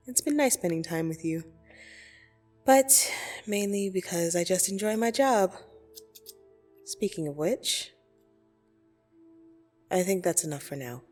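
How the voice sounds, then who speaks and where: A woman playing a blunt, teasing yet soothing dream demon in an ASMR roleplay, speaking deliberately and intimately with gentle condescension. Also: fairly low pitch. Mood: fond, matter-of-fact. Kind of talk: ASMR roleplay audio drama. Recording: soft music behind the voice. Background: soft meditation music.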